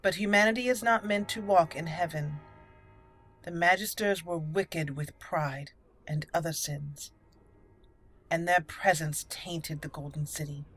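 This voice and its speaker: A woman hosting a roleplay game playthrough, speaking in a deliberate storyteller manner. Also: lowish voice, steady tone, fairly bright tone, breathy edge. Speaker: gaming channel host. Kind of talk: roleplay game commentary and dramatic lore reading. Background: faint game music.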